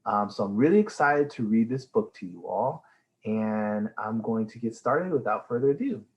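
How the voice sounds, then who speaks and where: A man reading a storybook aloud for young children in an enthusiastic, expressive way. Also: fairly low pitch, normal speed. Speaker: read-aloud reader for children.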